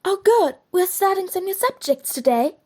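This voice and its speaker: A woman playing an earnest, brisk young schoolgirl witch in an audio drama, speaking precisely. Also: very high-pitched, expressive, mellow. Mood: brisk, assured. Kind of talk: fan audio drama acting.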